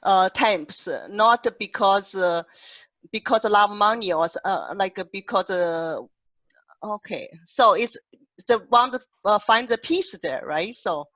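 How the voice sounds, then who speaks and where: A woman's voice: medium pitch, a little slow, fairly bright tone, dry recording. Woman with a heavy accent, dull call audio. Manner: heavy non-native accent, searching for words. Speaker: translator and co-host. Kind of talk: casual online panel discussion. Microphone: video call.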